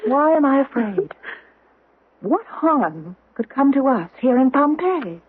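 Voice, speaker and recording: An actress playing a troubled young Roman woman haunted by visions of doom, in a dramatic, halting, foreboding old-time radio style. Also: high voice, sing-song, slightly rough, exaggerated voice. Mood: uneasy, foreboding. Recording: muffled vintage 1970s radio broadcast.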